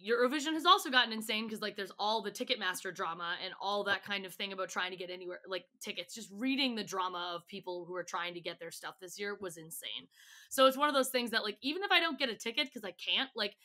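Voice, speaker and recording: A woman's voice: medium pitch, fast talker, sharp tone. A woman chatting casually and enthusiastically as a podcast guest, in informal, gushing fan talk. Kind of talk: casual chat.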